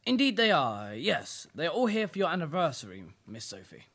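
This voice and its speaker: James, low-voiced deferential butler played by a woman. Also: sing-song, a little fast, a bit bright, exaggerated voice. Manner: obsequious, formal, repeating himself. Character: James, deferential elderly male English butler. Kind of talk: amateur radio play acting.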